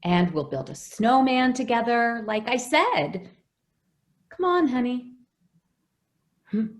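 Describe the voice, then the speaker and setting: A woman playing a gentle, anxious housekeeper who protects a child, hesitant and soothing, in old-time radio drama style. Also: a little high, expressive, slow. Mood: wistful, tender.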